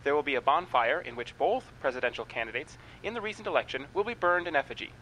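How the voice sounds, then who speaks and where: An actor playing a cheeky small-town morning radio host, breezy and deadpan in a comedy radio play. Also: male voice, fairly high pitch, fast, a little hiss or hum, low-quality recording. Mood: announcing, deadpan. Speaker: morning show announcer. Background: music.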